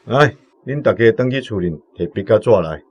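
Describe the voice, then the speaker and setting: A man's voice: on the low side, expressive, moderate pace, husky. A man playing an earnest, middle-aged village letter-writer in a radio drama, with a Taiwanese accent and an instructing manner. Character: respected village letter-writer uncle near fifty, earnest elder.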